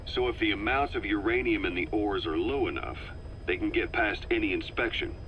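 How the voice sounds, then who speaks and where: Gruff, shrewd soldier for a game, played by a man: deep, dry, sardonic, on dull hissy cassette. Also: brisk, natural voice. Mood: wry, suspicious. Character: gruff, shrewd, sardonic male military veteran. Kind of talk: dramatic video game dialogue. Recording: muffled cassette tape with hiss.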